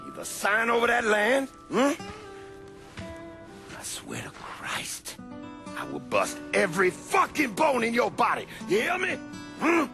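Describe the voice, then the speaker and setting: Casting: menacing middle-aged crime boss for a game; coarse, blunt, very animated delivery, music behind. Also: male voice, extremely high pitch, unhurried, pauses often, full character voice. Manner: blunt, profane, threatening. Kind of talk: video game cutscene acting.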